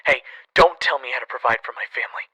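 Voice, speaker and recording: A man playing a defensive, pleading criminal brother in an audio drama, husky and earnest, through a phone-call filter. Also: medium pitch, fast talker, sharp tone, no room sound. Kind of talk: audio roleplay acting.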